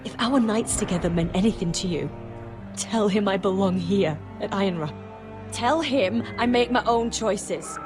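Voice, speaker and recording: Woman playing a heartfelt devoted noblewoman, mid pitch, game cutscene with music. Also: normal speed, natural voice. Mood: pleading. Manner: earnest, heartfelt. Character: devoted young noblewoman, earnest and loyal. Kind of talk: video game voice acting.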